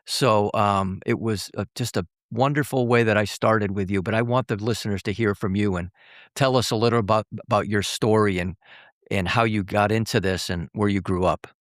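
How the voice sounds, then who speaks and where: A man hosting a podcast interview, speaking courteously in halting phrases with pauses. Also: fairly low pitch, steady tone, dry recording, clean studio sound. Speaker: podcast host.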